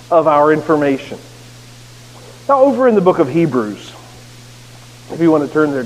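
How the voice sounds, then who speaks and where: A man with a neutral American accent preaching a sermon as pastor, earnest with touches of self-deprecating humor. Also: on the high side. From United States. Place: church sanctuary. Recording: echoey sanctuary with faint hiss.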